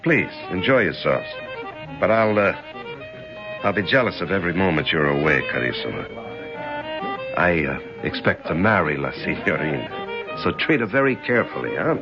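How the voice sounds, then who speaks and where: An actor playing a charming, jealous crook in a 1970s radio drama, courteous with hesitant pauses and faint menace. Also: male voice, sits low, sing-song. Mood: suave, possessive. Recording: dull vintage radio recording with music.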